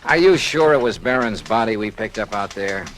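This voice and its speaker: Brisk male radio detective: clipped, probing, lively pitch movement, faint vintage noise. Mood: probing, thoughtful. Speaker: lead actor in a radio drama. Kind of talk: 1950s radio detective acting and narration.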